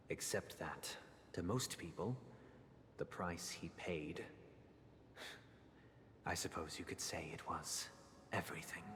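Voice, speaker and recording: A man voicing a solemn, formal gentleman adviser who delivers an eloquent, deliberate monologue in a video game. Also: lowish voice. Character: solemn, measured gentleman adviser recounting a tragic tale. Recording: long hall reverb, clear game music. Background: game music.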